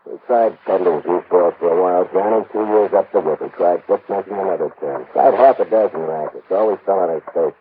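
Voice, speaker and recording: Casting: male actor, lowish voice, blustery exasperated city cop lieutenant, old radio drama, tinny narrow sound. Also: fairly quick, hardly any pauses, natural voice. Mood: dismissive. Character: harried, grumbling male big-city police lieutenant. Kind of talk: 1950s radio crime drama acting. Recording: tinny, hissy vintage radio recording.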